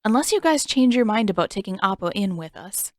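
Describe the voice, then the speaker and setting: A woman's voice: mid-range voice, animated, fairly quick, no echo, studio quality, close to an everyday voice. A woman voicing an eager, playful twelve-year-old boy in a fan-made audiobook reading. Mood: cheerful. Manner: bright, eager, gleeful.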